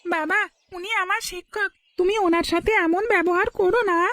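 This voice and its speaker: A woman voicing a very high earnest schoolboy. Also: fairly even intonation, brisk, fairly bright tone. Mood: pleading. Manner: pleading, respectful. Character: earnest polite village schoolboy. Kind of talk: cartoon voice acting.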